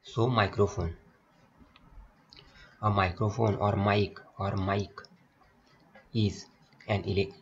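Low-pitched Indian male lecturer, flat delivery. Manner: repeats and explains points step by step. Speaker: polytechnic lecturer. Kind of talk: slide lecture, reading aloud and explaining. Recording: dull lo-fi audio, faint noise, clicks.

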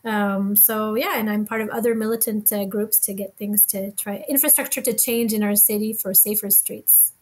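A middle-aged woman from Montreal, a bike activist talking casually as a podcast guest over a headset, soft with many hesitations. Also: medium pitch, fairly even intonation, normal speed, husky. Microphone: headset mic on video call.

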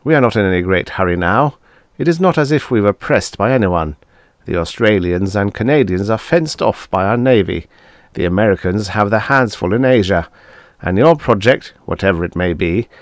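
Guy doing a loud hearty old prof, stout and jolly, pitches way up over a low voice, bossy. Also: animated, moderate pace, dry recording, clean studio sound, lightly acted. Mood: jovial. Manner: hearty, chuckling, overbearing. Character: stout jovial older male professor, blustering.

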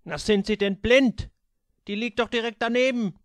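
A man voicing a cranky, unpredictable alien man who snaps into shrill outbursts and sinister politeness. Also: fairly even intonation, moderate pace, dry recording. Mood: scornful.

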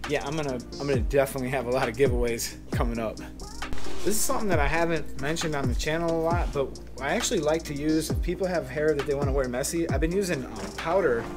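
A man's voice: a little high, quick pace. An American man in his thirties chatting casually to viewers in an easygoing, slangy vlog style. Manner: easygoing, slangy, chummy address. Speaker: barber and vlogger. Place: moving car, later a barbershop. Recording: music playing behind the voice.

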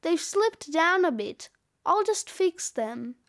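A woman's voice: very high-pitched, big pitch swings, takes it slowly, a bit bright, full character voice. A woman voicing an eager, easygoing young boy in a children's audiobook, breezy and coaxing. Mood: helpful. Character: young boy Terry, eager, easygoing, playful best friend.

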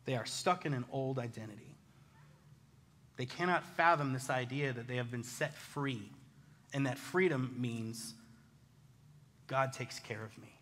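An American man preaching a conversational sermon, casual, animated and self-deprecating, telling personal stories as a pastor. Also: mid-range voice, a little slow, pauses often. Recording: reverberant hall.

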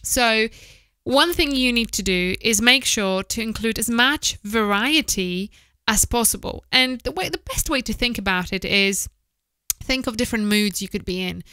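Female presenter, thirties, crisp and bright, chatty and frank podcast-style delivery on a close mic. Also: on the high side, moderate pace, no echo. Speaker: voice-over producer giving advice. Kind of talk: informal advice to camera, podcast style. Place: small indoor office. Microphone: close studio microphone with foam windscreen, headphones.

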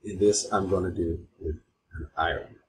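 A man from Sweden with a Swedish accent, giving an informal software tutorial in simple, halting non-native phrasing. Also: low voice. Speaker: tutorial host. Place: voice-over recorded at an old computer. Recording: steady clear background noise, mouse clicks.

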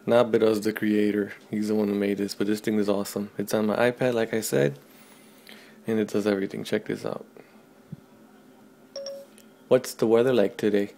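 A man casually demoing an app on camera, joking and talking loudly to the device at his desk. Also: fairly low pitch, level tone, normal speed, vocal fry, pauses often, slight background noise. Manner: offhand, joking, says commands loud to the device. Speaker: tech video host. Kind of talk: casual product demo. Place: small room at a desk.

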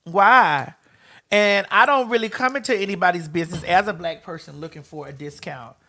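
A woman's voice: fairly low pitch, moderate pace, sharp tone. A sassy American woman in middle age talks casually into a close podcast mic. She is emphatic and funny, swears freely, and carries the chat as host. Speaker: talk show host. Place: small studio. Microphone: close podcast microphone on boom arm.

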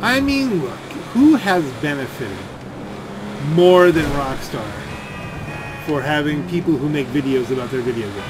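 A man's voice: on the high side, lively intonation, a little slow, over music, rough recording. A man rambling through off-the-cuff gameplay commentary in a grumbling, sardonic way. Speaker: gaming video creator. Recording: clear game vehicle and gunfire noise. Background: video game driving and shooting sounds.

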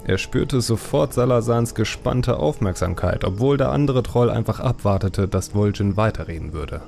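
Male narrator, low creaky voice, dramatic storytelling, scripted audiobook. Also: expressive, normal speed, a little mellow, faint music behind.

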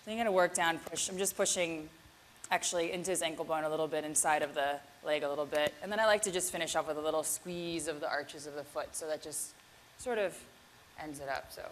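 Low-voiced woman giving a chatty talk. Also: fairly even intonation, fast talker, a little hiss or hum. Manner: chatty, self-deprecating, laughs often. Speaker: yoga teacher giving a keynote. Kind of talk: casual presentation with demonstration.

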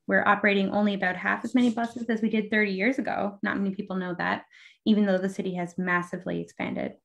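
A woman's voice: medium pitch, little pitch movement, fast talker, vocal fry, fairly bright tone. A woman answering a town hall question as an election candidate, speaking about policy in a confident, articulate way.

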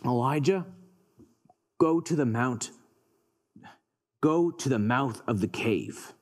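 A storyteller voicing God as a calm, all-powerful male spirit, solemn, measured and quiet, in a children's Bible story. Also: medium pitch, voice pushed down. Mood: commanding.

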